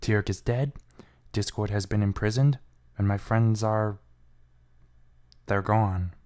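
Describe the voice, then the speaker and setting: A man's voice: on the low side, fairly even intonation, slow pace, a little mellow. A man giving a brooding, theatrical first-person reading of a fan fiction story. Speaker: amateur fan fiction reader.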